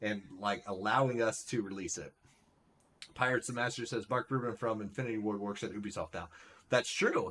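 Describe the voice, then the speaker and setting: A man hosting a live stream, chatting casually and saying "like" and "right?" a lot. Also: medium pitch. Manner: relaxed, says "like" and "right?" often. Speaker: streamer host.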